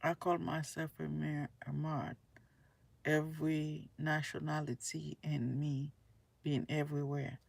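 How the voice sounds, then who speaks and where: A woman speaks casually and earnestly to her audience. Her voice has a lot of gravelly fry, and the recording is dry with little room sound. She rambles and circles back to repeat her phrases. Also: deep voice, level tone, a little slow, darkish tone, no echo. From Haiti. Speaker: tarot reader and spiritual video creator. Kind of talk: casual spoken monologue to viewers.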